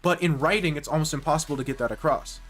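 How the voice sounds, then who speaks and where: A man's voice: a little high, quick pace, fairly bright tone, soft background music. A man streaming live and chatting casually with viewers, rambling and correcting himself mid-sentence with nerdy enthusiasm. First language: English.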